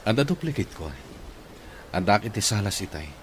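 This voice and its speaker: A man's voice: lowish voice, very animated, normal speed, a bit bright. A man playing a sombre father and employer in a radio drama, speaking in a subdued, grateful way. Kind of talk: Ilocano radio drama acting. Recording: clear background noise.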